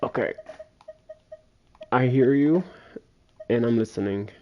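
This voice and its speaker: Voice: mid-range voice, slow, muffled recording, a little hiss or hum. A game streamer giving casual livestream commentary, muttering and thinking aloud while playing.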